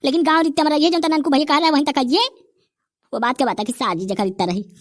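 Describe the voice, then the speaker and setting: A woman's voice: sits high, fast, no room sound, clean studio sound, natural voice. A woman voicing Nanku, a boastful old village grandfather, with a Bundelkhandi accent and rustic, bragging rants in a cartoon comedy. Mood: grumbling.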